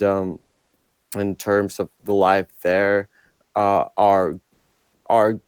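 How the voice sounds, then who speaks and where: Deep-voiced man from Ukraine. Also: darkish tone, dry recording. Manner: hesitant, many fillers and restarts. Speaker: interview guest. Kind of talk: casual interview answers.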